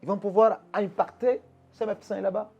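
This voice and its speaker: Pastor with a higher voice, preaching earnestly. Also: male voice, steady tone, normal speed, vocal fry, warm tone, lo-fi sound, soft background music. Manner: restarts and repeats words, emphatic teaching tone. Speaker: pastor, interview guest. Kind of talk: earnest preaching-style answers. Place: Quebec.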